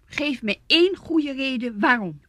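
A woman's voice: high-pitched, lively intonation, unhurried, a bit bright, no echo, studio quality. An actress playing a demanding young girlfriend in a radio play, pressing and reproachful. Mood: insistent. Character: firm, demanding young girlfriend fed up with excuses. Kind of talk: Dutch radio play acting.

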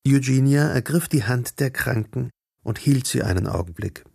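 A man's voice: medium pitch, normal speed, creaky, darkish tone, slightly rough. A man narrating a radio drama as a composed literary storyteller, reading scripted prose.

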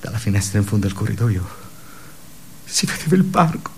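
Low-voiced, bitterly ironic male office worker. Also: big pitch swings, a little hiss or hum. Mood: wistful recollection. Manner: halting, ruminating, conversational with sudden emphatic bursts. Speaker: actor. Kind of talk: radio theatre monologue.

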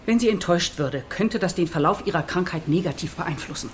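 A woman's voice: fairly low pitch, expressive, brisk, a little hiss or hum, close to an everyday voice. An actress playing a stern, protective female hospital nurse in a radio play, formal and reproving.

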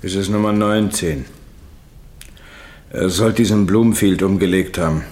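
World-weary guard: deep register, dry, few words, crime radio play. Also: male voice, steady tone, unhurried, darkish tone. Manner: laconic.

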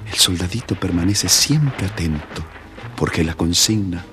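A man's voice: low voice, a little slow, music in the background. A man with an Argentine accent narrating a scripted children's tale, speaking directly to young listeners. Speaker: storyteller, narrator.

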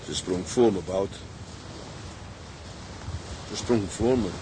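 An actor playing a grim, grieving sea captain in a Dutch drama, speaking in a solemn, deliberate way. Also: male voice, deep voice, noisy background. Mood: stunned grief. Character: grim, grief-stricken middle-aged male tugboat captain.

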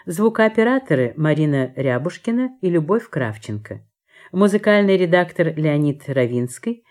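A woman's voice: sits low, sing-song, moderate pace, a little mellow. A woman announcer reading scripted credits for a radio drama in a formal broadcast style.

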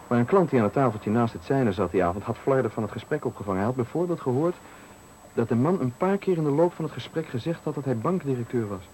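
An actor in a Dutch radio play playing a taunting, menacing stranger who speaks with deliberate, needling politeness in a Netherlands accent. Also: male voice, medium pitch, animated, darkish tone, natural voice. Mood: insinuating. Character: menacing, taunting male stranger cornering a man at night. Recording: faintly noisy 1980 radio recording.

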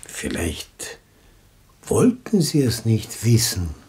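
An evasive neighbour in a radio play. He talks slowly and hesitantly in a husky voice, with wide swings of pitch. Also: wildly swooping pitch, a little mellow, heavily acted. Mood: hesitant, reflective. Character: hesitant Viennese male neighbour, slow, thoughtful, evasive. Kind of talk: 1960s literary radio drama acting.

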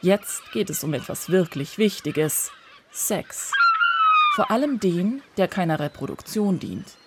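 A woman's voice: lowish voice, very animated, measured pace, heavy background noise. A woman playing a liveried seagull messenger in a radio play, delivering a note in a formal, mock-solemn manner.

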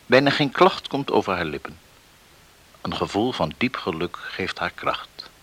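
An actor reading scripted dramatic narration as the solemn storyteller of a radio serial. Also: male voice, low-pitched, animated, a little slow, fairly bright tone, exaggerated voice.

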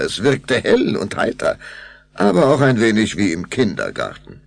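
A man narrating a children's radio play in an expressive storytelling manner. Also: deep voice, gravelly.

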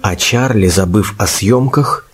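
A man's voice: lowish voice, measured pace. A man narrating a radio play in a scripted storytelling style. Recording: narration over loud music.

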